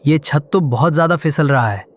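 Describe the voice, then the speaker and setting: Man voicing a timid, highish-voiced bird henchman. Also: moderate pace, natural voice. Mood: panicked. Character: timid bumbling bird henchman, devoted to his boss. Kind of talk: Hindi cartoon voice acting.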